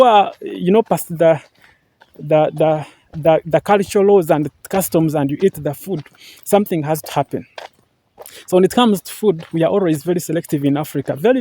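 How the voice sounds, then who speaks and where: A man's voice: on the high side, warm tone, husky. A young Ugandan man with a Ugandan English accent, talking casually to camera on a walk outdoors, repeating himself often and thanking viewers again and again. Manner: repetitive, earnest, many fillers and thank-yous. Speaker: vlogger. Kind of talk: casual vlog talk to camera. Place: outdoors, walking through green farmland.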